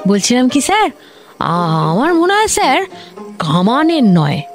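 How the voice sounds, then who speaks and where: Flustered forties woman officer, comic radio drama; very animated, high, rambling, faint music behind. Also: measured pace. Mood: eager, flustered. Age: 40s. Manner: flustered, rambling, stammering, over-explaining. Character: stout talkative domineering female government officer past forty.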